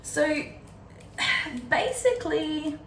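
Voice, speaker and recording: A woman's voice: high-pitched, expressive, very slow, fairly bright tone. A woman hosting a casual, chatty book readalong, retelling the story informally and paraphrasing dialogue in a conversational way. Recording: roomy echo with faint background noise.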